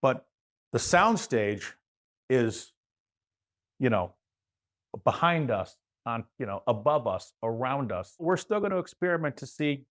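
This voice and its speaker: Man chatting loosely, mid-pitched voice. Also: very animated, a little slow, long pauses. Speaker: guest audio expert. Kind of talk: casual chat giving practical advice.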